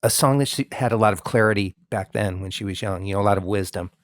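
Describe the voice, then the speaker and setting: A man's voice: fairly low pitch, quick pace, no room sound. A man hosting an interview, asking long, friendly, rambling questions with many fillers. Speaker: interview host, musician. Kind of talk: conversational interview.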